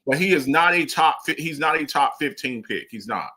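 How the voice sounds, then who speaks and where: Bright, animated man debating football. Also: fairly high pitch, moderate pace. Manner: animated, repeats and restarts, presses with questions. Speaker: sports talk host. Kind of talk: casual sports debate.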